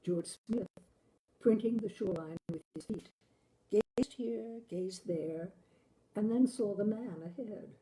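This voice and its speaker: Woman reading a story out loud, really mellow warm voice, takes her time, kinda dramatic. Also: mid-range voice, takes it slowly, a little hiss or hum, low-quality recording. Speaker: story reader, show host. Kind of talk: literary audiobook-style story narration.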